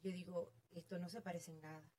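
A woman's voice: on the low side, little pitch movement, fast talker, dark tone. A middle-aged woman with a Venezuelan accent, telling her story casually as an interview guest. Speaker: interview guest, real estate agent.

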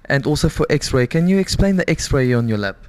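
A man's voice: mid-range voice, sing-song, brisk, darkish tone, clear, resonant tone. A man interviewing a guest with formal, reverent phrasing. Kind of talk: interview questions.

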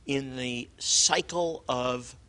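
A man hosting a religious show, teaching conversationally, often halting and repeating words as he explains. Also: medium pitch, sharp tone.

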